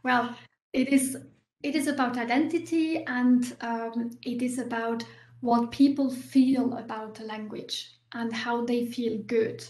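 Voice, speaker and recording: A woman academic answers audience questions in a slightly higher voice. She explains patiently and restarts her phrases with many small fillers. The room around her is echoey. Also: fairly even intonation, unhurried, a little mellow. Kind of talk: university talk Q&A.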